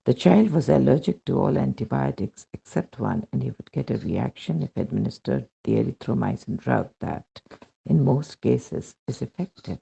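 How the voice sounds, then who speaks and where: Gravelly Indian man reading aloud. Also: mid-range voice, lively intonation, moderate pace, warm tone. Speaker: reader of a devotional testimonial. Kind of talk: scripted narration, reading a first-person account aloud. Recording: dull lo-fi recording.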